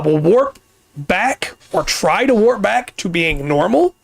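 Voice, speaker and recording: A man hosting a casual podcast, explaining things at length with pauses and restarts, his voice getting worn. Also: on the high side, gravelly, dry recording. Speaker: podcast host.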